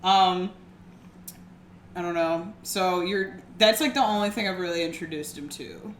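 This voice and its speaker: A woman's voice: fairly low pitch, measured pace. Chatty young woman in a roomy room. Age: 20s. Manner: chatty, rambling with many fillers. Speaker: podcast co-host. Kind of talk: casual chat. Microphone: one shared microphone. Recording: roomy room, shared mic.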